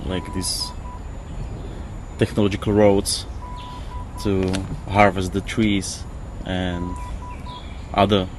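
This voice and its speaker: A man who is not a native English speaker, giving a reflective interview outdoors and searching hesitantly for words. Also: sits low. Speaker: interview guest. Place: outdoors on a river island. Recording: clearly noisy outdoor field recording.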